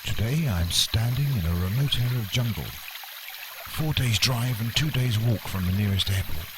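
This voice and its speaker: Pompous, animated radio naturalist, played by a man. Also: lowish voice, normal speed, background noise, close to an everyday voice. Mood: measured, genteel. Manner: wordy, politely formal, digressive. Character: unflappable, pompous male radio naturalist presenter, oblivious to danger. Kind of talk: mock radio documentary narration.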